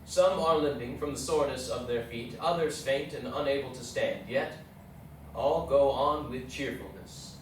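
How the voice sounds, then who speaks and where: A man acts out an earnest explorer captain reading his journal on stage, measured and formal. The voice is mid-pitched, distant and swimming in room echo, with faint noise. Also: close to an everyday voice. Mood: sympathetic. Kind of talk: readers theatre journal recitation. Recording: echoey hall, distant mic, faint noise.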